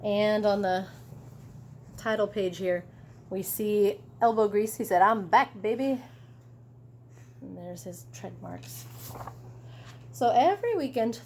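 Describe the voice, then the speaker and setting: A woman teacher reading a picture book aloud to children, chatty and animated, with casual asides about the pictures. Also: medium pitch, a little hiss or hum.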